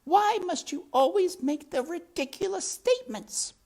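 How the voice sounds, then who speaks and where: A woman voicing a dramatic mother mouse, shrill and exasperated. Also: lively intonation, a little slow, a little mellow, exaggerated voice. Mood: indignant. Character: dramatic female mother mouse with French airs.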